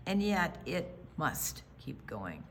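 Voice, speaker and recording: An American woman reading a picture book aloud for young children, with dramatic pauses, hushed asides and emphatic delivery. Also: low voice, sing-song, slight background noise. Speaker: children's storytime reader at a library.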